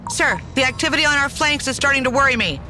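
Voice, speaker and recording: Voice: high voice, lively intonation, quick pace, sharp tone, soft background music, heavily acted. An actor playing a dutiful, worried military officer who gives formal, clipped reports in a strategy game.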